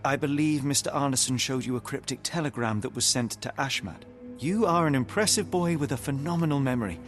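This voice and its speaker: An actor playing a composed, analytical British detective in a video game, speaking in a measured, formal way. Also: male voice, medium pitch, faint music behind, natural voice. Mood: calm, coaxing. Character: composed, analytical young gentleman detective, coolly persuasive.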